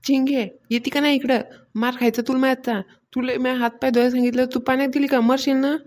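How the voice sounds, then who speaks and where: Woman acting a quick, nagging village mother. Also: high voice, level tone, a little mellow, close to an everyday voice. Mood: scolding. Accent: Varhadi Marathi. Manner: nagging, exclaiming. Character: scolding young village mother on pilgrimage. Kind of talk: cartoon comedy dialogue.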